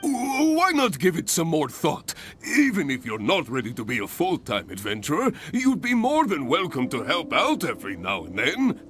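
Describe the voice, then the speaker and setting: An actor playing a theatrical, enthusiastic adventurers guild master in a video game, with a grandiose and effusive delivery. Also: male voice, on the high side, wildly swooping pitch, normal speed, hardly any pauses, a bit bright, slight background noise. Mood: dismayed, coaxing. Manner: grandiose, effusive, pleading persuasively. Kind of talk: video game character acting.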